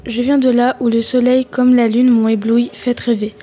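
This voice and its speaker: Teen girl poet, fairly high voice, monotone, mellow. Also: moderate pace. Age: teens. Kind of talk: reciting slam poetry.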